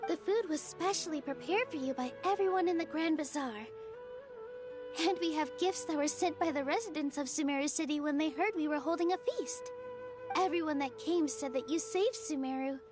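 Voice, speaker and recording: Woman voicing a very high, gentle young girl. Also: moderate pace, over music, lightly acted. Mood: warm, welcoming. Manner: gentle, welcoming. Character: gentle, gracious young girl hosting a feast. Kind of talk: video game voice acting.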